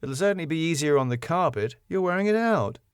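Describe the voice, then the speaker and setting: A man's voice: fairly high pitch, expressive, fast, clear, resonant tone, no room sound, pitched above the performer's own voice, natural voice. A long-suffering sitcom wife, acted out by a man, dry and exasperated. She scolds sardonically, in a very dry, close recording. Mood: weary, sardonic.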